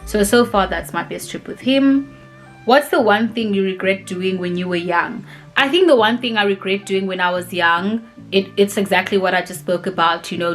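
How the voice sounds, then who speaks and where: Chatty young woman. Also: mid-range voice. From grew up in Cape Town, South Africa. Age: 20s. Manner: rambling and animated, many "like" and "you know" fillers. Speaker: vlogger answering viewer questions. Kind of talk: casual chatty Q&A.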